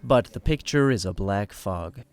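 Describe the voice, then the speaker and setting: A man's voice: on the low side, a little slow, noisy background. A man narrating a scripted comedy story in a playful, conversational and wry way. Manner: wry, animated.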